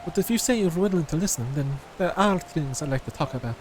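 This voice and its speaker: A man's voice: a little high, animated, fast, music in the background, natural voice, poor audio. A man voicing a proud, weary young woman with a claim to a throne in a fantasy game. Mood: earnest, vulnerable.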